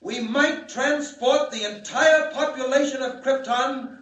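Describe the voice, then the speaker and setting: A solemn alien scientist foretelling doom in an old radio play. His voice is strikingly high, earnest and declamatory, ringing in heavy echo at a distance from the microphone. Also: a little slow, fairly bright tone. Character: grave, urgent male alien scientist warning of doom. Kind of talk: 1940s radio drama acting. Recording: echoey, distant 1940 radio broadcast.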